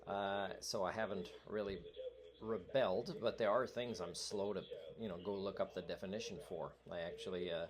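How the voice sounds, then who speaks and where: A man whose first language is French, talking in a rambling, earnest vlog monologue full of fillers and restarts. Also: deep voice. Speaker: vlogger. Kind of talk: rambling monologue addressed to a radio host.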